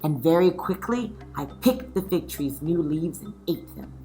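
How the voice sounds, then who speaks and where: A woman teacher addressing young pupils in a read-aloud lesson, instructive, asking questions with pauses and reading stories expressively. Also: deep voice, lively intonation, warm tone, soft background music.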